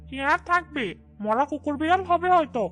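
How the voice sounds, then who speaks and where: A woman's voice: sits high, expressive, fairly quick, fairly bright tone, faint music behind. A woman voicing a blunt village woman in a cartoon drama. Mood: suspicious, scolding.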